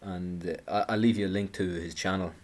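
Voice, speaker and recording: Man, deep voice, sarcastic commentator delivering an indignant monologue with stumbles and restarts. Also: steady tone, brisk, darkish tone. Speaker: political commentator.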